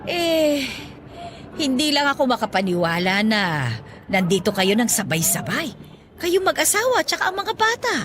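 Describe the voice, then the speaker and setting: A woman playing a warm, forgetful elderly grandmother in a Filipino radio drama, exclaiming and chuckling as she fusses. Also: high voice, very animated, moderate pace, background noise. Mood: grateful, puzzled. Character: warm, teary, forgetful elderly grandmother who runs a store.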